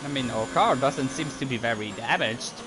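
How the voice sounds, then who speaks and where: A man whose first language is French, casually commenting while playing a racing game, rambling and breaking into sudden outbursts. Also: mid-range voice. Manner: rambling, easily distracted, quick outbursts. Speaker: gaming YouTuber. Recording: gameplay audio with clear engine noise.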